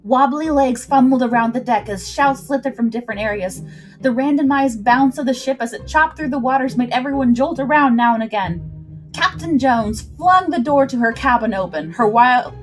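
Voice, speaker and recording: Fairly high-voiced woman narrating an adventure. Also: low-quality recording. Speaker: storyteller, livestream host. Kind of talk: dramatic scripted narration of an adventure story.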